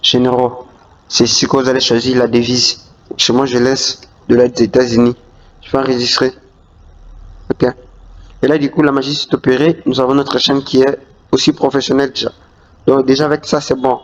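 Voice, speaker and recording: Man, tutorial host, hesitant with many fillers, mid pitch, monotone, muffled lo-fi voice-over. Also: moderate pace, long pauses. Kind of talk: screen-recorded tutorial walkthrough. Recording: dull lo-fi voice-over.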